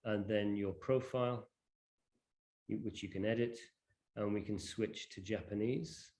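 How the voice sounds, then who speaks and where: A man presenting an informal live demonstration of educational software, with a halting, hesitant delivery. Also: on the low side, fairly even intonation.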